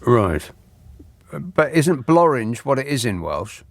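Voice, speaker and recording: A man's voice: mid-range voice, slow pace, no echo. Dry, sarcastic man hosting a radio phone-in. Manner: dry, sarcastic, deadpan asides. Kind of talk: late-night radio phone-in. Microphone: studio microphone.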